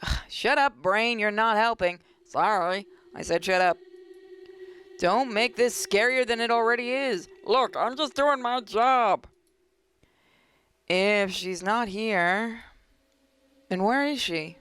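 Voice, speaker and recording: A woman hosting a gaming video, reading a visual novel aloud dramatically with playful, expressive asides. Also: medium pitch, takes it slowly, long pauses, slight background noise.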